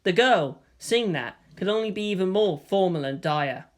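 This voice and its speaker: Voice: medium pitch, animated, moderate pace. A narrator reading fan fiction aloud as scripted storytelling.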